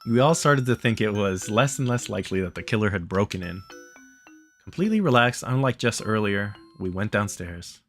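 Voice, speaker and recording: A man's voice: on the low side, expressive, brisk, a bit bright, faint music behind. A man hosting a playthrough, reading the game's story aloud and commenting casually on it. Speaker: let's play host reading the game's text aloud.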